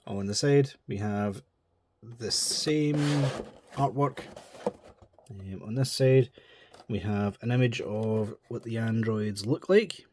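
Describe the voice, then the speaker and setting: A man's voice: fairly low pitch, takes it slowly, background noise. A man with a stuffy nose from a cold, chatting in a rambling, informal way as he reviews a model kit. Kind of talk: casual chat.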